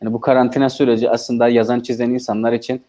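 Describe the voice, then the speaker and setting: A male filmmaker speaking as an interview guest, calm and reflective, with filler sounds between his thoughts. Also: medium pitch, racing. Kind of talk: interview answers.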